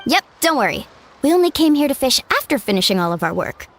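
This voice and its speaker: A woman's voice: high-pitched, sing-song, quick pace, slight background noise. A woman playing a sunny, easygoing young woman in a fantasy game, breezy and upbeat. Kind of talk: animated fantasy game acting.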